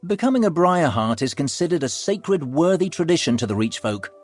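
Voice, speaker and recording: A man playing an earnest scholar in a video game, explaining customs in a husky voice. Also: fairly high pitch, sing-song, a little fast, no echo, faint music behind. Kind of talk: video game character acting.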